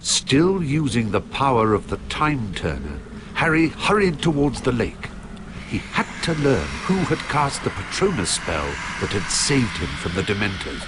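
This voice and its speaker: A man narrating video game cutscenes in a scripted, storybook style, slow and dramatic. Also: medium pitch, very animated, noisy background. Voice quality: slow and dramatic, with big swings in the voice.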